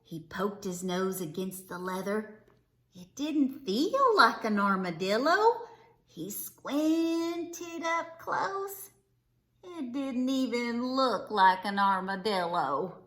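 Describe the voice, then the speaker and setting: A woman reading a children's storybook aloud expressively, with dramatic, drawn-out emphasis on words. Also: fairly high pitch, very animated, fairly bright tone. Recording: echoey, roomy sound.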